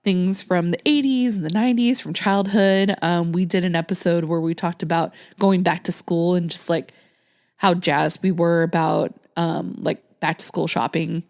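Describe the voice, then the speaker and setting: A woman's voice: lowish voice, fairly even intonation, normal speed, vocal fry, darkish tone. A woman in her late thirties from Texas, a podcast host chatting casually with a guest, with frequent laughs and ums. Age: late 30s. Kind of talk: casual chat.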